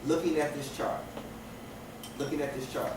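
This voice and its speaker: A man's voice: a little high, steady tone, normal speed, noisy background. Man lecturing from far off in an echoey room. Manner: repeats and restarts phrases, asks rhetorical questions. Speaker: teacher. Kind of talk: classroom lecture.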